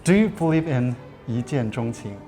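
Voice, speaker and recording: A woman's voice: a bass voice, expressive, normal speed, music in the background. A woman who teaches Chinese, explaining words patiently in casual chat with many fillers.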